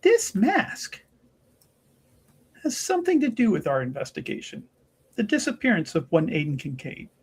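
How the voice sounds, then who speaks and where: A chipper, sly lady investigator, acted by a man in a fantasy tabletop game. The voice is high and very animated, with courteous, hesitant, roundabout phrasing. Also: normal speed, long pauses, husky, full character voice. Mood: earnest, brisk. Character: chipper, sly, well-mannered female investigator.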